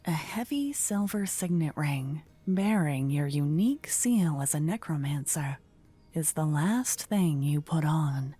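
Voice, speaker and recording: A woman narrating a scripted fantasy ASMR story in a soft, whispery voice. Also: sits low, expressive, unhurried, husky, soft background music.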